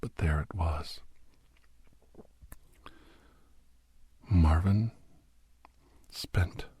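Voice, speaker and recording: A man playing a grave, haunted doctor and war veteran in an ASMR horror audio drama, speaking slowly in a breathy, husky hush that often slips toward a whisper. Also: low voice, exaggerated voice. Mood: somber, ominous. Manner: slow, halting, hushed, often slipping into whisper.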